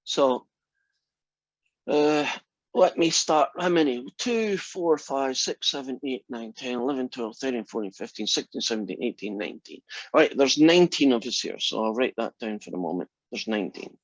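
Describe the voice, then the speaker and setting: A man's voice: mid-range voice, lively intonation, moderate pace, no echo. A man in his sixties teaching a math class online, chatty and friendly, often asking "right?" to check in. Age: 60s. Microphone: computer mic on a video call. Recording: dry, dull lo-fi call audio.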